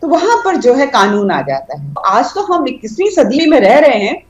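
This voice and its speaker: Emphatic Indian woman with lively pitch. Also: normal speed, roomy sound. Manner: firm, emphatic. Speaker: party spokeswoman. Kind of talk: heated TV panel debate.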